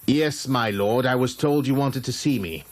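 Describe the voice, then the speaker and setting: A man playing a defiant, scheming monk in an animated religious drama, formal and insistent. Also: mid-range voice, normal speed, close to an everyday voice. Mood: deferential. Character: defiant, scheming male monk challenging his master.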